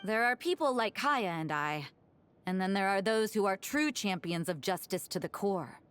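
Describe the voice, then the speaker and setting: An actress playing a composed, wry woman in a fantasy game, recounting legends in a measured way. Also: mid-range voice, expressive, fairly bright tone, a little hiss or hum, lightly acted. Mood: measured, explaining. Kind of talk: scripted game dialogue. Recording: game music behind the voice.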